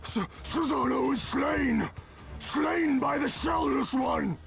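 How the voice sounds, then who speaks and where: A man playing a fierce, hostile turtle-like beastman warrior in a video game, making loud, threatening declarations. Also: extremely high pitch, slow, vocal fry, exaggerated voice. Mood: alarmed. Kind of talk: dramatic game cutscene acting. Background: dramatic music.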